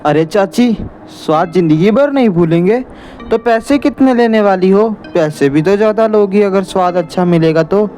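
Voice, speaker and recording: A man's voice: sits high, moderate pace, warm tone, faint music behind, voice pushed up, natural voice. A man voicing a cocky, joking village boy in a horror cartoon story, breezy and dismissive. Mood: teasing.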